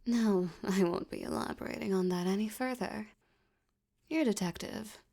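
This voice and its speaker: A woman playing a flirtatious, domineering mafia boss in an ASMR roleplay, teasing and condescending, with a breathy voice that slips toward whispers. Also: mid-range voice, dark tone. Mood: seductive, commanding. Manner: teasing, seductive, condescending, drawn-out delivery.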